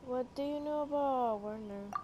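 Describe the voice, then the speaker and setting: A woman's voice: high voice, moderate pace, lo-fi sound, slight background noise, natural voice. An actress playing a composed, polite young female traveler in an English video game. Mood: businesslike. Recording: music behind the voice. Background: game music.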